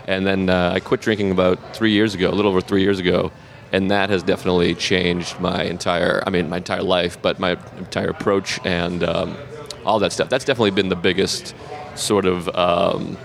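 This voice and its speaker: A man in his thirties with a Boston accent, a comedian guest in a casual interview, halting and self-deprecating with frequent laughs. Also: deep voice, flat delivery, vocal fry.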